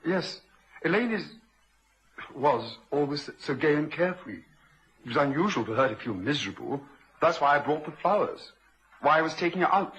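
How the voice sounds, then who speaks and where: A man playing an earnest, polite and anxious young man in a vintage radio drama, speaking in a halting, emotive way. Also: a little high, expressive, a bit bright, rough recording. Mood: saddened. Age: young adult.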